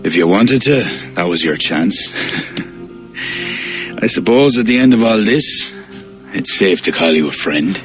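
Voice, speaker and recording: A man's voice: low-pitched, lively intonation, a little slow. An actor playing a proud but humbled king in a video game, earnest and formal in manner. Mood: weary, wry. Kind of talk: cinematic video game acting. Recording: faint game music behind the voice.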